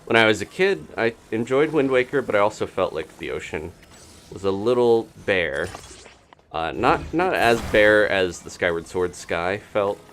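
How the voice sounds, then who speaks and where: A man streaming a video game, giving casual live commentary and chatting as he thinks out loud. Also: fairly low pitch, very animated, normal speed, slight background noise.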